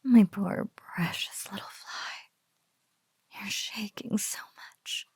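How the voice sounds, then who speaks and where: A woman acts out a female spider creature who toys with a captive, doting and playfully cruel. The delivery is very slow and breathy, often sinking into a soft whisper. It sounds close and dry, in the manner of an ASMR roleplay. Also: medium pitch, no echo, full character voice. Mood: doting, teasing.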